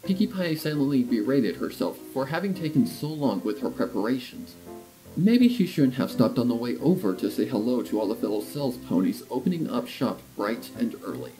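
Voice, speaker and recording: A man reads a story aloud as its narrator. His voice sits at a middling pitch, with faint music playing underneath. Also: big pitch swings, brisk, poor audio. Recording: soft music behind the voice.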